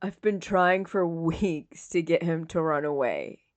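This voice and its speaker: Woman voicing a low, slow, troubled young man. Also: no room sound. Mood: frustrated.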